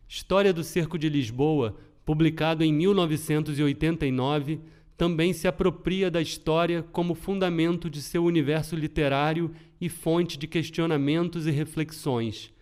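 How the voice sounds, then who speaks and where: A man's voice: on the high side, a little mellow. Earnest Brazilian man hosting a podcast. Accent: Brazilian Portuguese. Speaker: podcast host. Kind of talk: scripted podcast narration.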